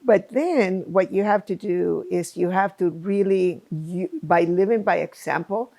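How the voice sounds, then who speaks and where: Gravelly woman guest, emphatic. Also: on the low side, unhurried, warm tone. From Chile. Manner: emphatic, speaks in short bursts. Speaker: guest, program founder. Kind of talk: conversational interview.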